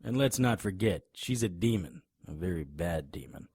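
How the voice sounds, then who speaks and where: An actor playing a tough, wisecracking monster hunter in an audio drama, grim and cocky, with a husky voice. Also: male voice, low-pitched, animated, normal speed, darkish tone, no echo. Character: hard-boiled male monster hunter, grim, cocky, threatening. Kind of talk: audio drama acting with noir first-person narration.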